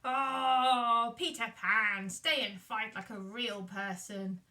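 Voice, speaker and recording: A woman's voice: fairly high pitch, fairly even intonation, slow pace, bright, forceful tone, pitched below the performer's own voice. A woman playing a dastardly, boastful male pirate captain in a children's puppet show, theatrical and gloating. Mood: taunting. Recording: distant microphone.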